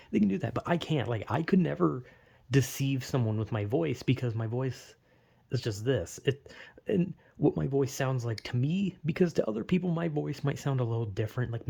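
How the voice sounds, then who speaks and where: A man's voice: mid-range voice, gravelly, mellow, breathy edge. A young man vlogging in a rambling, self-deprecating way, full of "like" fillers, with a slight lisp. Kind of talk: casual rambling monologue.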